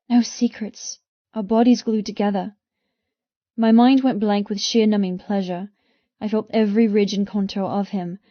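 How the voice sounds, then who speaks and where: Female audiobook narrator, medium pitch, intense first-person romance delivery, expressive, dry close booth, slightly dull recording. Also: no echo.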